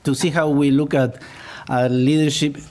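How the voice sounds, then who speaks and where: A man's voice: on the high side, flat delivery, moderate pace, a little mellow. A middle-aged man from Ecuador with an Ecuadorian Spanish accent, Spanish as his first language, giving a public lecture as a business professor with self-deprecating humor. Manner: self-deprecating, chuckles at own jokes.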